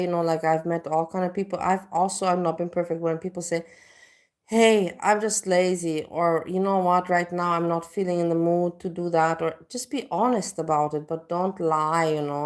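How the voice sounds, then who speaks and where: A woman's voice: on the low side, fairly even intonation, moderate pace. A woman from Indonesia hosting a casual livestream, rambling and leaning on filler phrases like "you know, like". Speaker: livestream host.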